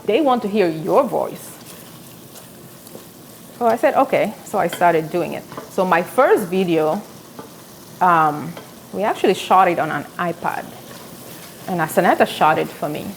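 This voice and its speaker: A woman's voice: lowish voice, expressive, a little slow, creaky, pauses often, slight background noise, poor audio. A woman in her thirties from South Sudan, with a South Sudanese accent, hosting a live cooking show from her home kitchen and telling stories as she cooks. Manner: chatty, storytelling while cooking. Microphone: camera on tripod, medium distance.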